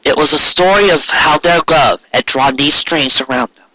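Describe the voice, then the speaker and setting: A man reading a story aloud as an amateur narrator, in a dramatic, measured way with pauses. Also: a little high, sharp tone, no echo, rough recording. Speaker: amateur audiobook reader.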